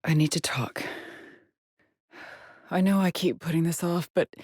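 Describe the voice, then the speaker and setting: An anguished woman astronaut, trapped and haunted. Her voice is husky and breathy, and she speaks slowly and haltingly in a dramatic video game style. The sound is close and dry. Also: mid-range voice, a little mellow, no room sound. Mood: troubled, confessional. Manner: slow, halting, haunted delivery with sighs.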